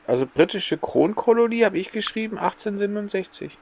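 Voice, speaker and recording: Guy on a podcast, kinda high voice with lots of creak, just chatting. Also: animated, quick pace, darkish tone. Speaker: podcast co-host. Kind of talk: casual podcast chat.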